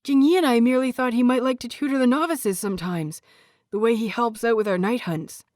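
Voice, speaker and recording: A woman's voice: on the high side, brisk, a little mellow, no echo, clean studio sound, voice pushed up, lightly acted. A woman voicing an earnest young man, a respectful disciple who speaks politely and formally, in an audio story. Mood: excited.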